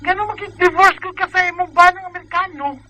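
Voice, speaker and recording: A woman playing a blunt, nosy friend and mother in a Bisaya radio drama. Her voice is high and sharp, with a scolding, exclamatory delivery and a Bisaya Filipino accent. The recording carries faint noise. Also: fast talker. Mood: scolding, teasing.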